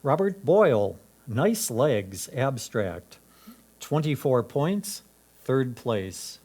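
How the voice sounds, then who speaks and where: A man's voice: medium pitch, very animated, extremely slow, a little mellow. A man announcing titles, names and scores at a club competition.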